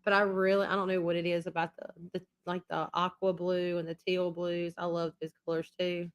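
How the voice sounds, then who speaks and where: A woman's voice: fairly low pitch, little pitch movement, a little fast, smooth. A woman chatting casually on a live stream as the channel's host, rambling and repeating herself while losing her voice after being sick. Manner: rambling and repetitive.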